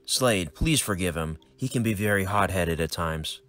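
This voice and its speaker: A calm, measured older mentor with a lowish voice, played by a man. The recording is close and dry. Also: no echo.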